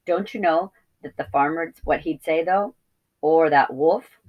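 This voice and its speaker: A woman's voice: low voice, measured pace, lo-fi sound. A folksy old female sheep from a children's storybook, performed by a woman. She is blunt and plain-spoken, with a rustic turn of speech. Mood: folksy, friendly.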